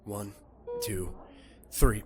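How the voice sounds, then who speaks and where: Casting: male actor as composed emergency doctor for audio drama, lower-pitched, gentle with patients, authoritative with the team. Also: steady tone, very slow. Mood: brisk, commanding. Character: calm, reassuring male emergency doctor tending critical patient. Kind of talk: audio roleplay acting.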